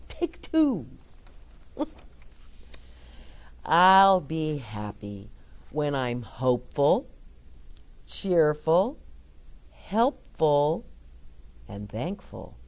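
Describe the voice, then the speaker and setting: A middle-aged woman telling a story to small children, her lowish voice rising and falling with lots of life. She takes her time, speaking slowly and warmly encouraging her young listeners. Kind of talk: read-aloud story time with chat to children.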